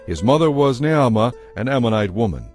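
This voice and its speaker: A man narrates scripture as a formal, measured storyteller. His voice is of average pitch, reading from a script for a dramatized production, with music playing behind him. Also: sing-song.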